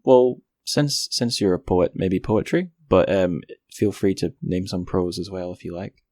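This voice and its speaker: A man's voice: sits low, a little slow, dark tone, dry recording, studio quality. A man hosting a podcast interview in a casual, informal, slightly rambling and self-deprecating way. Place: indoors by a window.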